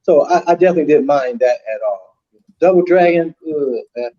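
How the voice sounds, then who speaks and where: A man co-hosting a gaming podcast who talks hesitantly, with many pauses and restarts. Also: fairly high pitch, mellow, dull audio. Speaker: co-host. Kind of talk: casual chat.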